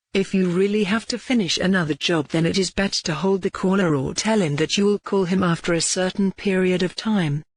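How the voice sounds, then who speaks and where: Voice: mid-range voice, dry recording. Formal instructional narrator, very dry sound. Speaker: tutorial narrator.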